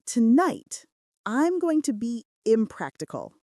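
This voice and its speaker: A woman's voice: fairly high pitch, very animated, slow, warm tone, no echo, studio quality. A woman voicing an earnest, devoted male mafia boss in love in a romance audiobook. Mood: loving, solemn.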